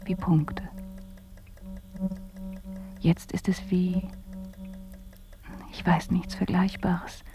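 Hushed, slow-speaking bedridden dreamy woman. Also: on the low side, flat delivery, whispered, over music. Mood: dreamy, searching. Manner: hushed, breathy, halting delivery. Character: bedridden dreamy woman describing visions, whispering tenderly to Phil. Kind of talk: radio drama acting.

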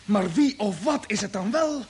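An actor playing a thoughtful, decisive space expedition commander in a radio drama. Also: male voice, high-pitched, expressive, moderate pace, a little mellow, husky, heavily acted. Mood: puzzled, uneasy. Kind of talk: 1950s radio drama acting. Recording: old radio recording, faint hiss.

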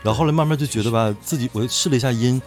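A man's voice: medium pitch, very fast. A man chatting casually as an interview guest, hesitant and often repeating short words of agreement. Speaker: voice actor guest. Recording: music behind the voice. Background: music.

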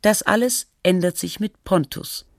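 A documentary narrator reading a scripted feature in a measured, matter-of-fact, scholarly way. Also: medium pitch, gravelly, no room sound, clean studio sound.

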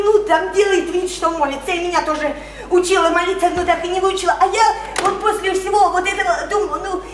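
A high, childlike voice of a naive, soulful young provincial woman in a radio drama. She speaks haltingly and repeats herself with earnest feeling. The recording is echoey, with clear background noise. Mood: indignant. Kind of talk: stage-style radio drama acting.

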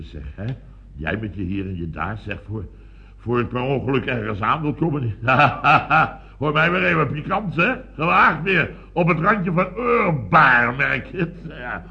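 Blustering, wildly animated boss. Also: male voice, mid-range voice, moderate pace, vocal fry. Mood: flustered. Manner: sputtering, repetitive, pompous, exclaiming. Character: blustering, excitable, self-important male boss of building firm. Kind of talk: radio comedy serial acting. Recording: dull band-limited old radio recording.

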